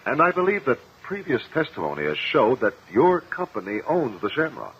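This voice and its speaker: Calm, probing radio-drama attorney. Formal, very varied pitch, muffled vintage sound. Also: male voice, normal speed, slightly rough, full character voice. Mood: formal, measured. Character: calm, methodical male lawyer questioning witnesses in court. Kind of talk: 1950 radio courtroom drama acting. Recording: muffled, hissy old radio recording.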